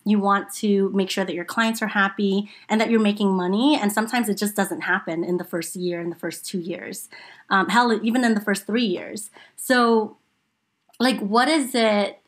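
A woman's voice: mid-range voice, fairly even intonation, moderate pace. Upbeat woman podcast host. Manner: upbeat, laughing, swears casually. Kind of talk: casual interview chat.

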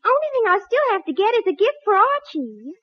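Teenage belle, extremely high voice, sugary, haughty, affected, muffled 1940s radio comedy. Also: female voice, sing-song, brisk. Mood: sugary, breezy.

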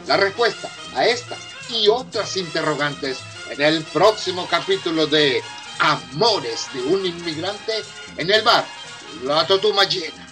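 A man's voice: a little high, expressive, measured pace, over music. A man narrating a humorous radio soap opera in a melodramatic, suspenseful scripted style.

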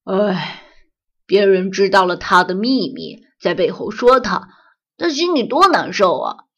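A woman voicing a kind, older wolf friend in a children's audio story who reasons calmly and firmly. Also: medium pitch, a little slow, husky, highs cut off. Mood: gently firm. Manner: calm, reasoning, gently firm.

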